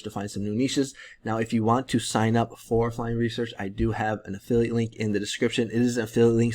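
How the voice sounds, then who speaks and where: A man in his thirties giving a relaxed, rambling screen-share tutorial with many fillers, his voice strained as he loses it. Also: lowish voice. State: losing his voice, clearing his throat. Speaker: print-on-demand seller and tutorial creator.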